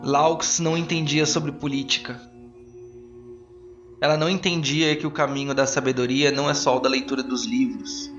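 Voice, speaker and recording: A man's voice: medium pitch, little pitch movement, normal speed, echoey room, lo-fi sound, music in the background, lightly acted. A man playing a grave, eloquent arcane council speaker with a formal, declamatory delivery in a tabletop audio drama. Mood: regretful.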